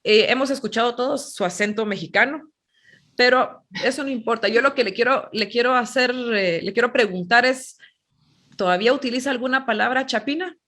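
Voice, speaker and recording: Guatemalan woman podcast host, formal and attentive, slightly high voice. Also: a little fast. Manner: warm interjections, formal usted. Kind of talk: interview.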